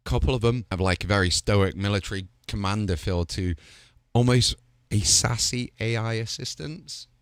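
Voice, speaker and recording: A male host talks casually and with enthusiasm, leaning on fillers as he goes. His voice sits a little low. The sound is dry and close. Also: normal speed, smooth, no room sound. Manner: chatty and enthusiastic, with filler like "kinda". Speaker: host showcasing game voice packs.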